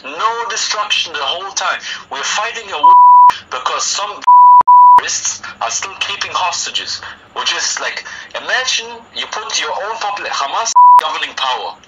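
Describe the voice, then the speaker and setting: A man's voice: high-pitched, lively intonation, moderate pace, distant mic, heavy background noise. A man from Israel in a casual online chat, arguing his point and checking in with "okay?" and "you know?". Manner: argumentative, keeps asking "okay?". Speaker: conversation partner.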